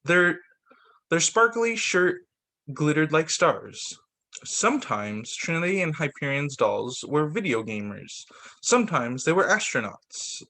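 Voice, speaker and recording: Man, teacher reading aloud, children's storybook, slightly higher-pitched voice, very varied intonation, expressive delivery. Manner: stumbles and corrects himself while reading. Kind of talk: picture book read-aloud for children.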